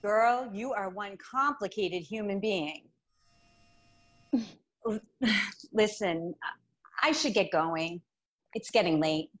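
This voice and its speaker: An actress playing a candid, encouraging, supportive longtime best friend in radio drama dialogue. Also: female voice, medium pitch, slow pace, long pauses, lo-fi sound. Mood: playful, fond.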